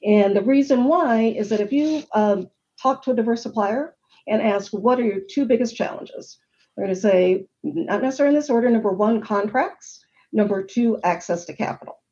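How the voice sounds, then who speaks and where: A woman's voice: fairly high pitch, moderate pace, dark tone, dull audio. A middle-aged woman on a webinar panel, earnestly explaining a problem and walking through statistics. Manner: earnest, explanatory, lists facts and figures. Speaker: panel guest on supplier diversity.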